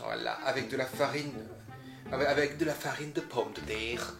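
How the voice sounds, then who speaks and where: A man's voice: mid-range voice, normal speed, gravelly, echoey room, music in the background. A man from Alsace with an Alsatian accent, chatting casually and jokily while tasting food, often tripping over his words. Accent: Alsatian French. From Alsace, France. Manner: jokey, animated, stumbles over words.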